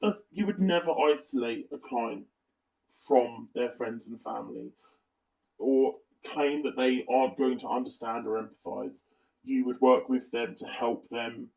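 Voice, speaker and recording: A man delivers an exposé-style commentary in a middling, creaky voice. He sounds critical yet matter-of-fact as he lays out and reads through evidence. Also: mid-range voice, unhurried, a little mellow, low-quality recording. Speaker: video commentator presenting an exposé. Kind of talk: spoken commentary reading out evidence.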